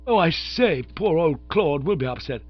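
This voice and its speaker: A man's voice: a little high, lively intonation, moderate pace, dull audio, faint music behind, pitched above the performer's own voice. A man voicing a breezy young Oxford student, rattling on chummily. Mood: breezy, chatty. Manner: rattling, chummy, full of "you know".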